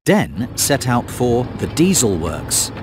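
Very animated male children's narrator. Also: medium pitch, very noisy. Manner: clear, deliberate instruction to young children. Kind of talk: scripted children's storytelling narration. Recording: music under the voice.

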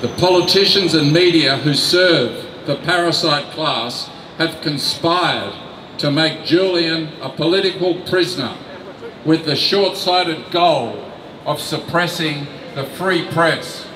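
An older man reads a prepared rally speech at a very slow, deliberate pace, stressing key words. His voice sits slightly high. The sound echoes across an open city square, picked up from a distance with faint noise behind. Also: bright, forceful tone. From Australia. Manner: slow, deliberate, emphatic reading from notes. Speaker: rally speaker. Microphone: mic on a stand.

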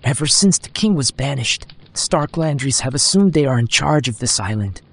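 A man's voice: medium pitch, lively intonation, moderate pace, gravelly, no room sound, voice pushed up. A man voicing a slender, cautious young innkeeper in an audiobook, with a folksy, fretful manner. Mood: conversational.

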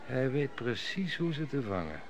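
A man's voice: mid-range voice, sing-song, moderate pace. A man playing a cynical, mocking court steward in a radio play, who drinks too much and schemes at court. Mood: sardonic. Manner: sardonic, mocking. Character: sly cynical male royal steward, heavy drinker. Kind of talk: 1960s radio drama acting.

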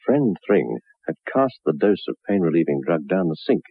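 Very deep, ominous radio horror narrator. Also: male voice, sing-song, creaky, breathy edge, no room sound, full character voice. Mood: grave, ominous. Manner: measured, ominous storytelling. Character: grave male narrator of a macabre tale. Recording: muffled narrow-band old radio recording.

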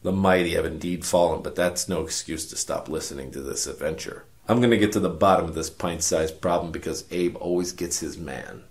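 An actor playing a cynical, world-weary detective, narrating a noir parody radio drama in a wry, self-important deadpan. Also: male voice, low voice, fairly quick, few pauses. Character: cynical world-weary hard-boiled male detective narrating his case.

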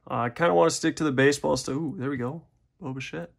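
A man's voice: fairly high pitch, fast, creaky, husky. A young man hosting a casual card-opening video, chatting in a rambling, self-deprecating way at a desk. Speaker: card-opening channel host. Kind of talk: casual pack-opening commentary. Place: indoors at a desk.

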